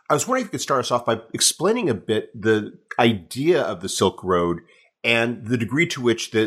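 A man hosting a history-book podcast, asking his guest questions in a calm, polite way. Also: fairly low pitch. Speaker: podcast host. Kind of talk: podcast interview.

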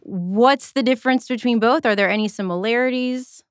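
A woman's voice: fairly high pitch, normal speed, no room sound. A woman interviewing a guest, asking questions in a conversational way.

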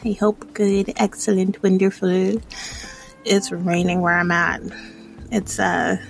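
A woman's voice: mid-range voice, level tone, slow, vocal fry, soft background music, rough recording. A woman in her thirties chatting casually in a personal vlog, rambling and hesitant as she reflects on herself. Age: 30s. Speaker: vlogger.

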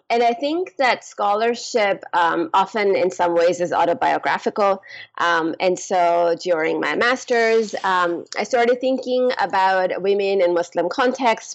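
A woman's voice: lowish voice, dull audio. A woman from Pakistan explaining her academic work as an interview guest, in careful, hesitant short segments. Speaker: guest scholar and author.

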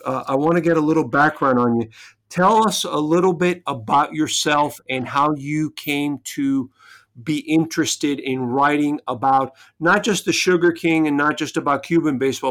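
A man's voice: fairly high pitch, a bit bright. A man hosting a podcast interview, asking long, multi-part questions in a conversational way. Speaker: podcast host.

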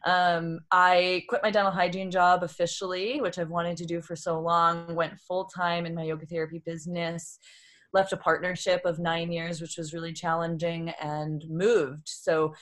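A woman's voice: on the low side, flat delivery, normal speed, a bit bright. A woman in her thirties from Boston, a yoga therapist telling her story as a podcast guest in a reflective, open way, laughing often. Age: 30s. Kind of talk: casual interview talk.

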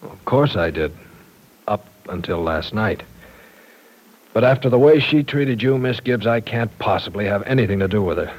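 An actor playing an earnest, apologetic university professor in an old-time radio drama, formal and courteous yet insistent. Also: male voice, lowish voice, expressive, normal speed, darkish tone, low-quality recording.

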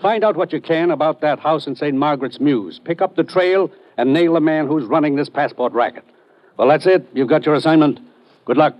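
A brisk commissioner played by a man in an old radio drama. His voice sits fairly high and sounds official and matter-of-fact, on a slightly dull vintage recording. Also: lightly acted. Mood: commanding. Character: brisk authoritative male commissioner handing out assignments. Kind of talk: 1950s radio drama acting.

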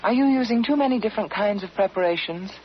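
Animated woman reading an old radio advert. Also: medium pitch, quick pace. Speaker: beauty advertising spokeswoman. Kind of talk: scripted 1940s radio advertisement. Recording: dull vintage radio, faint hiss.

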